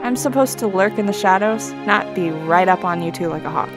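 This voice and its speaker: Animated fan series: actress as young female bodyguard, playful teasing huntress with a wistful side, music behind. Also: medium pitch, a little fast, natural voice. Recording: background music under the voice.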